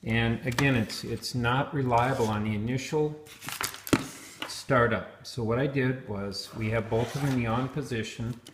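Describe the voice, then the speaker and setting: A man's voice: medium pitch, normal speed, background noise. A man giving an unscripted hands-on gear demonstration, explaining things step by step. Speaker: product reviewer demonstrating helmet intercoms. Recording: roomy, echoing sound.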